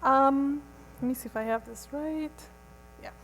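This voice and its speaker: A woman museum curator giving a formal academic lecture at a symposium, reading a prepared paper in a measured way. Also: sits high, a bit bright, slight background noise. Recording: long hall reverb.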